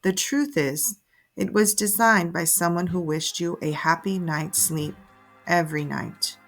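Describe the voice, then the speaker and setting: A woman narrating a guided sleep meditation in a soothing, measured, instructive way. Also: lowish voice. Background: soft music.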